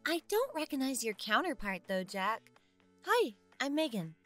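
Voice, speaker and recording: An actress playing a friendly, observant twin sister at a party, conversational in a naturalistic audio drama. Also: female voice, high voice, sing-song, measured pace, a bit bright, faint music behind, exaggerated voice. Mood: friendly greeting.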